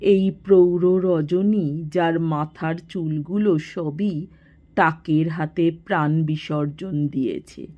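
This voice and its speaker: A woman narrating a literary story in a measured, expressive manner, in a slightly dull recording. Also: sits low.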